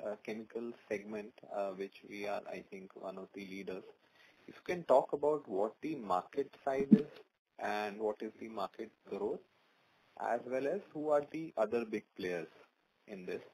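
A man's voice: on the low side, normal speed, a little mellow. A man putting questions to a company as an investor on an earnings call. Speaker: investor caller asking questions. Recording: noisy call line.

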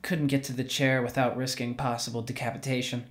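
A man playing an awkward, sheepish young retail worker in an audio drama, with a halting, casual, wry delivery. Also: medium pitch, flat delivery, close to an everyday voice. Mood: strained. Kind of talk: naturalistic scripted audio drama dialogue.

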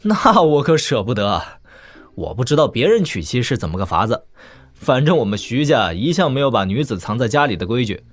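An actor playing a young prince in an audio drama, talking in a casual, wry, teasing manner. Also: male voice, mid-range voice, big pitch swings, a little fast. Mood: teasing, warm.

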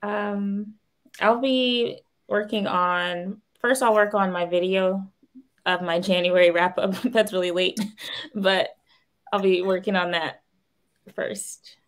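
A woman talking softly and casually on a video call about the video she is working on. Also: mid-range voice, steady tone, slow pace.

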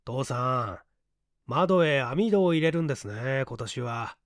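A quick-witted young man in an audiobook mystery, voiced by a male reader. He is breezy and composed, with lively intonation in a dry, close recording. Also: medium pitch, no echo, natural voice. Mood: casual bluffing. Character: cool, quick-witted young son, casually bluffing.

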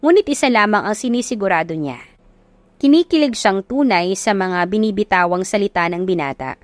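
A woman's voice: mid-range voice, expressive, brisk, no echo. A woman with a Filipino accent narrating a scripted love story in a dramatic storytelling style. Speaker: audiobook narrator.

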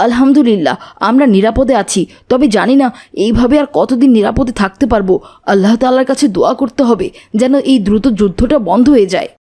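A woman's voice: on the high side, dark tone, close to an everyday voice. A woman voicing an eager, friendly village boy in a cartoon, chummy and persuasive. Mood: earnest. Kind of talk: cartoon dialogue.

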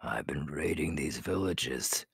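A man playing a ruthless, menacing young werewolf alpha in an ASMR audio roleplay, gravelly and breathy, slow and boastful, often dropping to a whisper. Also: extremely low pitch, a bit bright, dry recording, clean studio sound, full character voice. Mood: menacing, ambitious.

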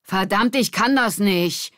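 A woman voicing an insecure, frustrated boy with stage fright, halting and emphatic, in a children's audiobook. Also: medium pitch, no echo, clean studio sound, pitched above the performer's own voice, close to an everyday voice. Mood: desperate.

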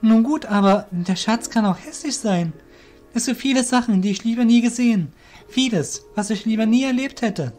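Man voicing an earnest young apprentice warrior, pitched up. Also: animated, moderate pace, darkish tone, soft background music. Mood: doubtful. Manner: anxious, questioning, respectful. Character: Seki, earnest young male apprentice warrior full of questions.

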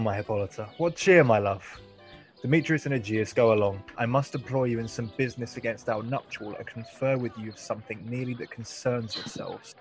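An actor playing an authoritative male ruler, speaking measured, formal verse in a Shakespearean radio play. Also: medium pitch, natural voice. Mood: commanding.

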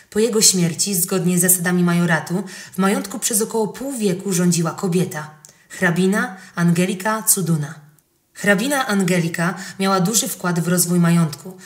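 Lowish female voice reading history stuff, really roomy echo on it. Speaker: podcast narrator. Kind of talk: scripted narration of a history podcast. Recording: roomy, echoing voice recording.

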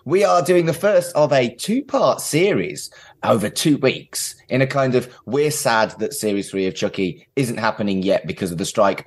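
A man's voice: fairly low pitch, husky. A man hosting a podcast in a casual, chatty style, with wry, self-deprecating asides. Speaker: podcast host.

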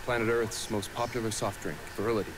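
An actor playing a calculating young male rebel leader in a video game, speaking in measured, deliberate exposition. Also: fairly low pitch, steady tone, close to an everyday voice. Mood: cool, calculating. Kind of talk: dramatic video game cutscene acting. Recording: game audio with noise and talk over.

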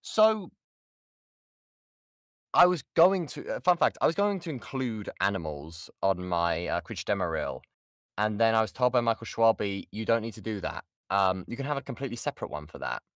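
A man's voice: fairly low pitch, big pitch swings, moderate pace, fairly bright tone, no echo, studio quality. A British man giving an informal voice acting lesson and answering questions, often restarting his sentences and stressing key points. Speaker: voice actor giving a workshop. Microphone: close mic on an online voice chat.

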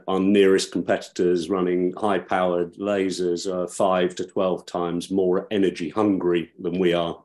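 Deep-voiced middle-aged founder fielding questions on a webcam call, measured and self-correcting. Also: male voice, darkish tone. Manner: thinks aloud, self-correcting. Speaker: startup founder. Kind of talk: answering panel questions. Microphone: webcam on video call.

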